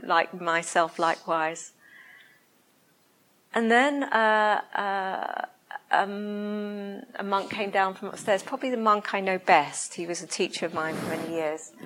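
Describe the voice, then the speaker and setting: A woman with a soft voice giving a reflective meditation talk to a group, speaking slowly and pausing often to search for the right words. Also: mid-range voice. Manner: slow, hesitant, searching for words. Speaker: meditation teacher. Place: room with a listening group.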